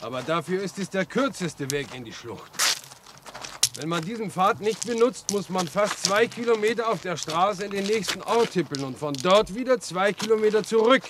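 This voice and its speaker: An actor in a German radio drama playing a folksy, helpful railway line inspector who likes to chat and caution. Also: male voice, high voice, lively intonation, moderate pace. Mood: chatty, wry. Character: chatty, obliging railway line inspector guiding a stranger. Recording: noisy old radio play recording.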